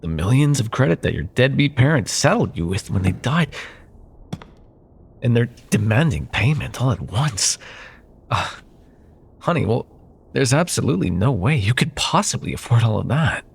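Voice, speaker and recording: A man's voice: medium pitch, big pitch swings, moderate pace, gravelly, pauses often, a little hiss or hum. A man playing an arrogant, possessive corporate CEO ex-lover in a cyberpunk ASMR roleplay, sardonic and menacing, with condescending pet names. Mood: mock surprise. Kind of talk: ASMR roleplay, dramatic monologue.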